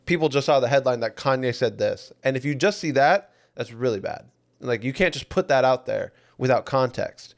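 A man's voice: mid-range voice, animated, moderate pace. A man co-hosting a podcast in casual chat, talking in an agreeable, slangy, conversational way. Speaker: podcast co-host. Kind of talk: casual chat about music and celebrities.

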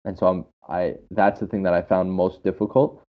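A man's voice: deep voice, level tone, normal speed. A man speaking casually and enthusiastically as an English teacher, explaining with anecdotes and repeating phrases for emphasis. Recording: dull, muffled lo-fi sound.

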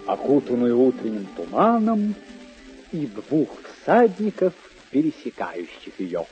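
An urbane storyteller in an old radio play, speaking slowly and theatrically. His phrasing is deliberate and varied in melody. Music runs behind a dull, aged recording. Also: mid-range voice, huge pitch swings, darkish tone. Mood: measured, scene-setting. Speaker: narrator.

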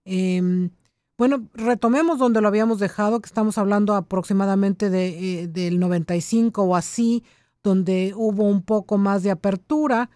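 A woman's voice: mid-range voice, fairly even intonation, normal speed, dry recording. Lady radio host, chatty, keeps saying ujú, dry studio sound. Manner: warm chatty interviewer, frequent "ujú" backchannels. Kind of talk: casual radio interview.

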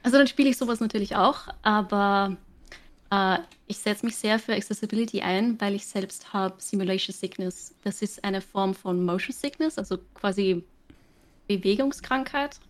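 A woman's voice: mid-range voice, normal speed. A woman with an Austrian accent, a guest streamer chatting casually on a podcast and explaining things with many fillers.

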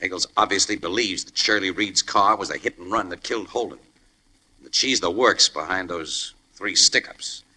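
A hard, forceful male voice from an old radio crime show. He is a wisecracking undercover man posing as an ex-con, very low and rough-edged, tossing off slang with easy nonchalance. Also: moderate pace, heavily acted, poor audio. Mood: confiding. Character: tough-guy crime photographer posing as ex-convict garage hand. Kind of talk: 1940s radio crime drama acting. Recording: old-time radio broadcast recording.

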